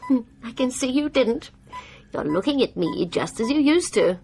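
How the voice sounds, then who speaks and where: A woman's voice: sits high, expressive, moderate pace, background noise. An actress playing a widow who coos with condescending, menacing sweetness at her helpless husband in a radio horror drama. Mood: sweetly taunting. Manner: cooing, mock-tender, condescending.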